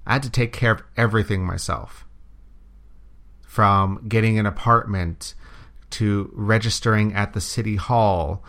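A man from Canada telling stories in a relaxed, casual chat, laughing now and then. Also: fairly low pitch, a little slow.

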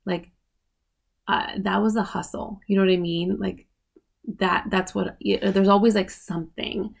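A woman's voice: medium pitch, fairly even intonation, unhurried, creaky. A woman in her late thirties hosting a podcast, talking casually on her own and filling her speech with "like" and "you know what I mean". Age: 30s. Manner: chatty, many fillers like "like" and "you know". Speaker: podcast host. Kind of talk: casual solo podcast talk.

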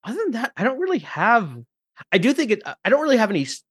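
A man chatting as a podcast guest, telling anecdotes in an animated, rambling way. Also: very high-pitched, fast talker, slightly rough, dry recording, clean studio sound. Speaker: podcast guest and tabletop player. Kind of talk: casual chat, telling gaming anecdotes.

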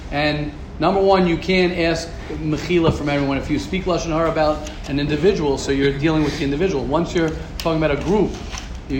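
A man teaching a Torah class, taking questions and acting out quoted gossip in a lively, repetitive way. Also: a little high. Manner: animated, acts out quoted gossip, repeats words. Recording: echoey room, noisy ambience.

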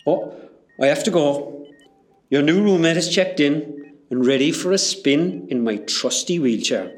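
A man's voice: on the high side, animated, unhurried. A man playing a kindly, earnest Irish hospital porter with a Cork accent in an amateur radio play. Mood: gentle, consoling. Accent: Irish, County Cork. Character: kindly, apologetic Irish hospital porter, grown-up local lad.

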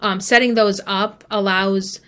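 A woman's voice: mid-range voice, steady tone, a little slow, a bit bright. Woman explaining informally, step by step. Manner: informal, explains step by step, often says "like" and "you know". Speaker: guest email marketing expert. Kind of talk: conversational interview explanation.

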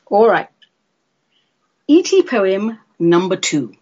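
A woman's voice: mid-range voice, big pitch swings, slow pace, warm tone, dull audio. A woman from London with a London English accent hosting a chatty solo radio show in a playful, theatrical, droll manner. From London, England.

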